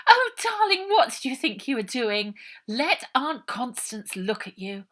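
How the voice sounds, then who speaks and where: A woman's voice: a little high, big pitch swings, unhurried, a bit bright, voice pushed up, full character voice. A woman doing a gushing posh British lady. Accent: British upper-class. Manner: fussing, sing-song endearments. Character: kind posh young woman posing as an aunt.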